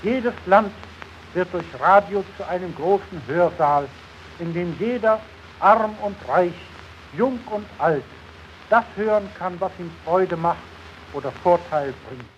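A man delivering a solemn, declamatory formal address in measured phrases, in 1920s radio style. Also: high voice, highs cut off. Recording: old archive recording with faint hiss.

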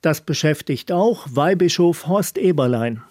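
A man's voice: a little high, expressive, measured pace, a little mellow, no room sound, clean studio sound. A man presenting a radio programme in a scripted style.

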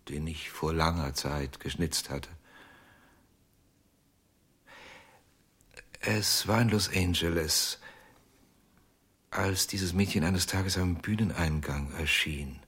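An actor playing a haunted, obsessive ventriloquist in a German radio drama, speaking hesitantly with many pauses. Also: male voice, low voice, fairly bright tone, breathy edge, exaggerated voice. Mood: brooding. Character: haunted, obsessive male ventriloquist, middle-aged. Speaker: ventriloquist under questioning.

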